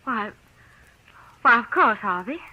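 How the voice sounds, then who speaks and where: An actress playing an anxious but quietly resolute unhappy wife in an old radio drama, with hesitant, earnest phrasing. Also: female voice, on the high side, lively intonation, takes it slowly. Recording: dull old radio broadcast recording.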